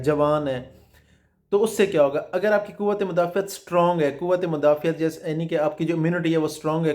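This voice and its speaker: A man's voice: fairly high pitch, darkish tone. A man hosting a health explainer as a food specialist, teaching patiently, asking himself rhetorical questions and repeating his points. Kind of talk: explanatory health talk to camera.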